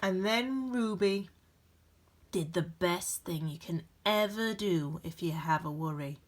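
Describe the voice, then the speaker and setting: A woman reading a children's picture book aloud with gentle, expressive storytelling emphasis. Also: fairly low pitch, takes it slowly. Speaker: storybook reader.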